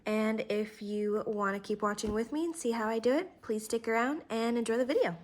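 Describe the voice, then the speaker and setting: A woman's voice: on the high side, a little fast. A woman vlogger talking through her budget while writing, chatty and thinking aloud, a little congested from a cold.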